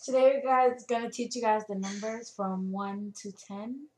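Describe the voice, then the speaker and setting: A woman's voice: a little high, moderate pace, darkish tone, low-quality recording. A young adult American woman casually presenting a beginner language lesson to peers. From United States. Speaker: presenter of Arabic lesson, learner of Arabic. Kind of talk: casual peer teaching.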